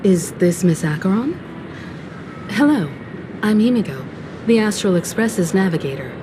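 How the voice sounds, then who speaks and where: An actress playing a poised, gracious starship navigator in a video game, composed and articulate. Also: female voice, medium pitch, lively intonation, normal speed, noisy background, close to an everyday voice. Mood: composed, welcoming. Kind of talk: video game cutscene acting.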